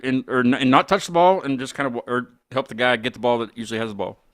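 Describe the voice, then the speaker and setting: A man's voice: mid-range voice, fairly even intonation, quick pace, dry recording, clean studio sound. A man hosting a casual interview show, chatting informally. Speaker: host of a band interview show.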